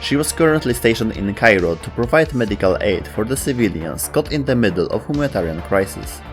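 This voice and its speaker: Low-voiced man narrating game lore. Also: animated, a little fast, soft background music. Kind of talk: scripted narration.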